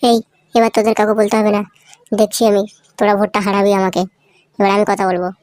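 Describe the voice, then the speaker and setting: A woman's voice: mid-range voice, fairly even intonation, fast, darkish tone, no room sound, studio quality, lightly acted. A woman voicing a pushy male village politician in a cartoon, wheedling and crude as he begs for votes. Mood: coaxing. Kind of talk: cartoon comedy voice acting. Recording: noisy homemade recording.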